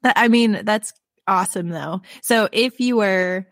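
A woman's voice: medium pitch, measured pace, a bit bright, dry recording. Gushing woman podcast host, dry sound. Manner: gushing and enthusiastic, heavy "like" fillers. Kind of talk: podcast interview.

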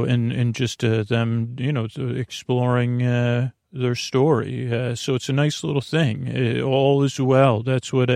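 Male bedtime podcast host, heavy vocal fry, meandering and stammering soothing delivery, very dry studio sound. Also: mid-range voice, no echo. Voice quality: creaky. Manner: meandering, stammering, trailing off and losing his thread. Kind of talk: rambling, informal bedtime podcast talk to listeners.

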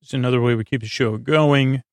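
A man hosting a bedtime podcast in a rambling monologue, halting and stammering, often repeating himself and trailing off mid-word. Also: mid-range voice, steady tone, no echo. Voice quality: creaky, gravelly. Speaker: podcast host.

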